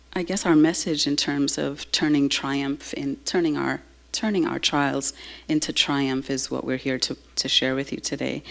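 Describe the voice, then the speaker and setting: A woman giving a slow, halting, heartfelt personal talk to a live audience. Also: a bass voice, vocal fry. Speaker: speaker sharing a personal story.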